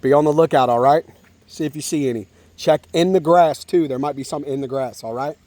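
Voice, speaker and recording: A man's voice: fairly high pitch, a little fast, mellow. A man talking casually and playfully to his young child outdoors, in simple, encouraging phrases with frequent check-ins. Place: outdoors at a park in the rain. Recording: noisy outdoor home-video audio.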